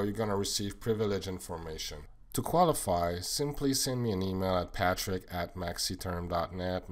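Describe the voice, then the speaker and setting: A man from Quebec with a French-Canadian accent, French his first language, earnestly explaining a slide presentation item by item. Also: deep voice. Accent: Québécois French. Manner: earnest, plain explanatory, lists items one by one. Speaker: sales manager.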